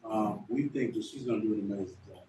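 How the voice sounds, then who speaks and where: A man's voice: fairly low pitch, fast, dark tone. A man speaking as a school superintendent at a board meeting, casual and folksy in manner. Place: meeting room. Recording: echoey room, distant mic, faint noise.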